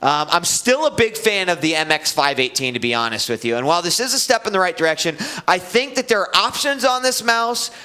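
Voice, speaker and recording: A man's voice: sits high, expressive, a little fast, few pauses, sharp tone, clear, resonant tone. A man hosting a live gaming web show, casually reviewing a computer mouse with lots of fillers and restarts.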